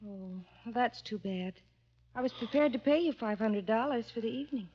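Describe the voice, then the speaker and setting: A woman's voice: fairly high pitch, moderate pace, breathy edge. A woman playing a poised, wealthy female client in a vintage radio detective drama. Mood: poised, businesslike. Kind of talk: 1940s-50s radio drama acting. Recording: muffled old radio recording.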